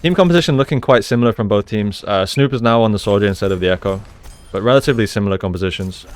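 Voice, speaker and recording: A man with a fairly low, clean and smooth voice breaks down video game play as a coach. He explains things in a measured way, often repeating a phrase to stress a point. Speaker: esports coach, video host. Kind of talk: gameplay analysis commentary.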